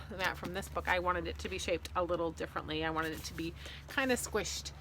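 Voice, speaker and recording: A woman's voice: lowish voice, pushed and bright. A woman in her thirties or forties talking casually and expressively to viewers as an author hosting her own video. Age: 30s to 40s. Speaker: fantasy author and video host. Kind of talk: casual explanatory vlog to viewers. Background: faint ambient noise.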